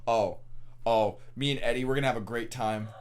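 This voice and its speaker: A young man co-hosting a podcast in casual banter, with an animated, joking manner and plenty of swearing. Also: medium pitch, brisk, a little hiss or hum. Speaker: podcast co-host.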